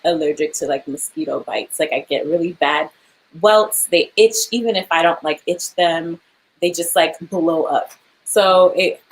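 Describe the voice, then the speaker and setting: A woman's voice: lowish voice, low-quality recording. A young woman, an actor, chatting casually in an interview, laughing often and filling her speech with "like" and "um".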